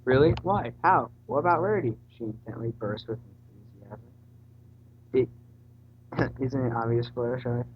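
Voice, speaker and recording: A man's voice: medium pitch, moderate pace, pauses often. A man casually reading a story aloud and voicing its dialogue. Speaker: reader in a group fanfiction reading. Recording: dull, faintly noisy home recording.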